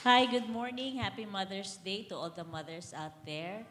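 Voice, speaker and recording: A woman in her late forties calmly introducing herself as a dentist and mother of two. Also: mid-range voice, moderate pace. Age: 40s. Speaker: guest, dentist. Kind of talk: self-introduction. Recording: long hall reverb, faint noise.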